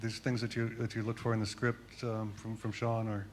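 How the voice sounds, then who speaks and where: A man's voice: on the low side, flat delivery, moderate pace, a little hiss or hum. A male journalist asking a question at a press conference, tentatively feeling for his phrasing.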